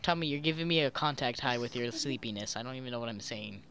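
A man's voice: mid-range voice, fast talker, bright, forceful tone, a little hiss or hum. A man teasing a friend with mock concern and frequent laughter while chatting over a headset during an online game. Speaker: player in group game chat. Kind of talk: casual teasing gaming banter.

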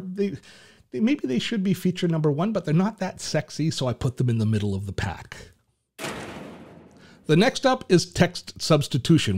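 A Canadian man in his fifties hosting a screen-share software tutorial from his home office, chatty and enthusiastic with self-deprecating asides. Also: a little high. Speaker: tech channel host. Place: home office with shelves and artwork. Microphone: large studio mic on a boom arm.

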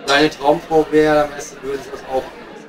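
Man acting a cheeky coal miner, Ruhr accent, lightly high pitch, somewhat distant mic, rambling pub banter, comedy audio play. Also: little pitch movement, brisk, crowd noise, close to an everyday voice. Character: cheeky, girl-chasing greying male coal miner in a pub. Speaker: comedy performer. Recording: distant from the microphone. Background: music.

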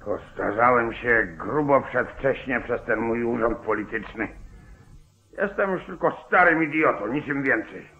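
An actor playing a weary, grumbling, henpecked small-town sheriff in a 1940s radio drama. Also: male voice, mid-range voice, animated, unhurried. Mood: exasperated. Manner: grumbling, exasperated, sighing. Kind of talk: 1940s Polish radio play acting. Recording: tinny, band-limited old 1949 radio recording.

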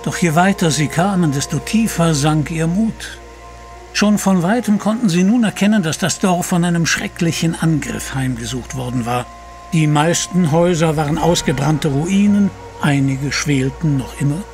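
Narrator: male, creaky textured voice in higher register, scripted dramatic storytelling. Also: normal speed, over music.

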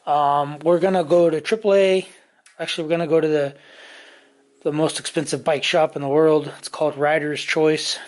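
A man casually talking through motorcycle parts in his home garage, rambling with many pauses and fillers, recorded on a handheld camera. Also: on the high side, level tone. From California. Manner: rambling, many ums and restarts. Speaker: motorcycle vlogger. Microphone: handheld camera mic, off-screen.

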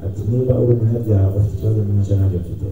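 Very fast, low-voiced male church interpreter. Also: fairly even intonation. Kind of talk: consecutive sermon interpreting into Kinyarwanda. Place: church hall, handheld microphone through speakers. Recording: muffled, very echoey hall, distant pickup.

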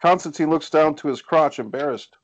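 A man's voice: fairly high pitch, a little fast, muffled recording, a little hiss or hum. A man reading stage directions as scripted narration for a screenplay over a video call. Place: indoors on a group video call.

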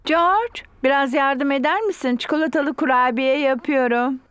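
A woman voicing a calm, kindly mother pig in a children's cartoon, speaking softly and patiently. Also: high voice, moderate pace, no echo. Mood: gentle. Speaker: dubbing actor. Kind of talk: cartoon dubbing.

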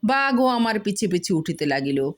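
A woman voicing a boastful village husband who spins excited tall tales, repeating words for suspense in a storybook reading. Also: medium pitch, moderate pace, voice pushed up, close to an everyday voice. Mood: excited, dramatic.

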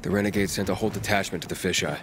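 Man playing a low-voiced, dry game courier. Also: fairly even intonation, racing, natural voice. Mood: matter-of-fact. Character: young male courier, dry and matter-of-fact. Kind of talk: video game dialogue. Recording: game music behind.

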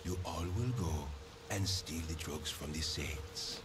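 An actor playing a sinister, calm gang lieutenant in a video game, speaking in a measured, formal way. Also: male voice, a bass voice, background noise. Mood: persuasive, menacing. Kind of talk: video game cutscene acting.

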